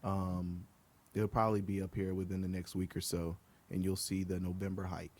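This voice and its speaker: A man from Liberia, a guest on a podcast, talking in a relaxed, earnest way with many ums. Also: sits low, moderate pace. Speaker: guest, outdoor group co-founder. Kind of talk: casual podcast interview.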